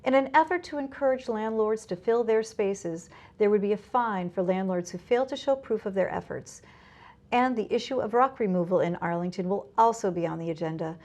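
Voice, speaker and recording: American female news anchor, medium pitch, delivering scripted narration. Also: fairly quick, a little mellow.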